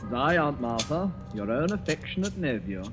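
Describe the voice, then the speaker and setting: An actor playing a young, greedy nephew with murder in mind, taunting and mock-affectionate, in old-time radio horror style. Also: male voice, a little high, big pitch swings, moderate pace, a bit bright. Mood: mock-affectionate, sly. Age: young adult. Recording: muffled vintage radio recording, music behind.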